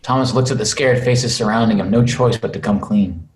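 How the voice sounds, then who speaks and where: A man's voice: fairly low pitch, brisk, slight background noise. A man reading stage directions as scripted narration at a table read over a video call. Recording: roomy, echoing video call audio.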